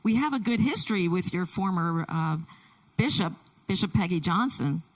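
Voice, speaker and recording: A woman pastor introducing and interviewing a guest at a church service, speaking in short, deliberate phrases. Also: fairly low pitch, expressive, recorded from a distance, low-quality recording. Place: church sanctuary.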